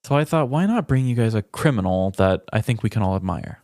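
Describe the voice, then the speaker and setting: A man's voice: lowish voice, lively intonation, a little fast, darkish tone, no room sound, clean studio sound. A man co-hosting a comedy podcast, moving between casual banter and scripted humorous storytelling.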